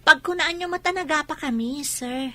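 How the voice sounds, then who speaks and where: A woman's voice: high voice, moderate pace, bright, forceful tone. A woman with an Ilocano accent playing a polite, caring young student in a radio drama, faint noise behind. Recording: faint background ambient noise.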